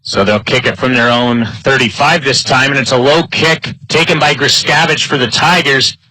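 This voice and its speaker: A man announcing live football play-by-play in clipped phrases, his excitement building on big plays. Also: medium pitch, moderate pace, no echo, rough recording. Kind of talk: live sports play-by-play commentary.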